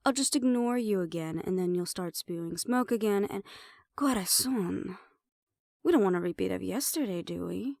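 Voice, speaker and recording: A woman's voice: medium pitch, moderate pace, gravelly, a little mellow, no echo, clean studio sound, close to an everyday voice. A woman playing a sly, defiant half-banshee siren in an audio roleplay, sarcastic and playful as she mocks the listener. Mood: mocking, teasing.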